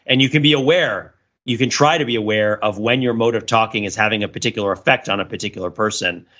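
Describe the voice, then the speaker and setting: A man's voice: fairly low pitch, fast talker, a bit bright, highs cut off. A man co-hosting a casual analytical podcast, talking in a discursive way and thinking aloud as he qualifies his points. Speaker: podcast co-host.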